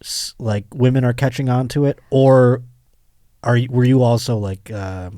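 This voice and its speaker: A man hosting a comedy podcast, chatting casually and asking questions in a halting, rambling way. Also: medium pitch, steady tone, mellow, smooth, no room sound, clean studio sound. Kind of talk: casual comedic interview chat.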